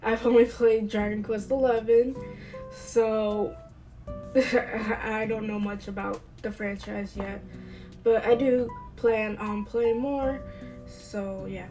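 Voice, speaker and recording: A woman's voice: a little high, steady tone, takes it slowly, mellow, faint music behind, low-quality recording. A woman hosting a casual video game review, chatty and playful, sounding congested and a bit nasal from a cold. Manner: chatty and playful, with light asides. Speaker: host of a gaming channel.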